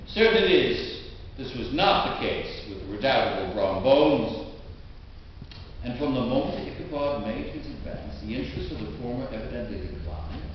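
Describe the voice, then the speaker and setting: A man's voice: mid-range voice, animated. Guy doing a dramatic old-timey story reading, super echoey church, kinda dull sound. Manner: dramatic, old-fashioned delivery with emphatic swells and pauses. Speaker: storyteller reading a classic tale aloud. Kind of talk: theatrical live literary reading. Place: inside a historic stone church. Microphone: distant microphone in the room. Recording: echoey church, distant mic, dull, faint noise.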